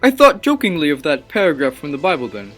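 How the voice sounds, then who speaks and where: A man's voice: fairly high pitch, huge pitch swings, fast talker, fairly bright tone, soft background music. An actor playing an obsessive, passionate young male scientist in a radio play, with earnest, theatrical and formal delivery. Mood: grave, haunted. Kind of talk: dramatic radio play acting and narration.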